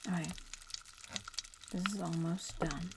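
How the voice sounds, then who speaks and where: A woman playing a bratty young witch in training in a soft-spoken ASMR roleplay, casually bossy and teasing but reassuring. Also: on the low side, steady tone, slow, gravelly, background noise. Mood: matter-of-fact, cautioning. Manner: casual, teasing, matter-of-fact instructions. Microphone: close-up ASMR microphone.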